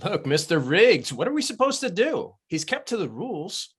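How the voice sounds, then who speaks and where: An uneasy underling, played by a man in a table read. His voice is a bit high and rough, rising and falling freely as he answers his boss. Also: sing-song, moderate pace, fairly bright tone, heavily acted. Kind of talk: screenplay table read acting.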